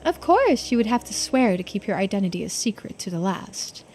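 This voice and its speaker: A woman voicing a teasing, shrewd investigator in a visual novel, with a knowing, theatrical, drawn-out delivery. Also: medium pitch, big pitch swings, darkish tone, soft background music, voice pushed down. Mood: cool bargaining.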